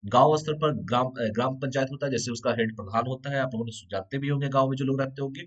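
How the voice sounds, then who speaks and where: A man with a hoarse voice gives an exam-prep lecture at an ordinary middle pitch. He explains each point patiently, repeats key terms, and keeps checking whether his students follow. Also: quick pace, a bit bright, highs cut off. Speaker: exam coaching teacher. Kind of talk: live online lecture.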